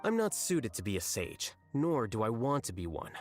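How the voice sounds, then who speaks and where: An actor playing an aloof, blunt young male scholar in a video game, deadpan, measured and articulate. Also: mid-range voice. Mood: calm, detached. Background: music.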